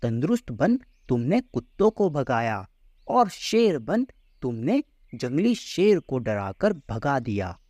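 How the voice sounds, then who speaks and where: A man voicing a calm, wise, patient holy man in a children's cartoon story, measured and instructive. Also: medium pitch, no room sound, clean studio sound. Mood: calm, explaining.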